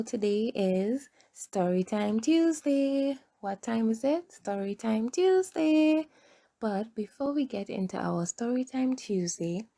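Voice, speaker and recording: A woman hosts a children's read-aloud in a slow, deliberate way. Her voice is soft-edged and mellow, and she phrases things simply, like a teacher with little kids. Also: medium pitch.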